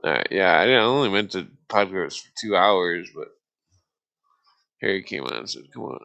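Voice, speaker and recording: A man's voice: sits low, animated, normal speed, vocal fry, no echo, dull audio. A man hosting a livestream in a slangy, laid-back way, with casual rambling talk and freestyle rap. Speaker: livestream host. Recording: loud music under the voice. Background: loud music beats.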